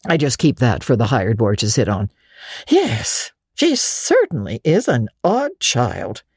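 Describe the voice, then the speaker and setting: Woman voicing a husky, blunt older country woman. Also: extremely low pitch, wildly swooping pitch, unhurried, darkish tone, no echo, studio quality, full character voice. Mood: musing, approving. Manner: folksy, outspoken, hearty. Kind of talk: dramatic audiobook reading.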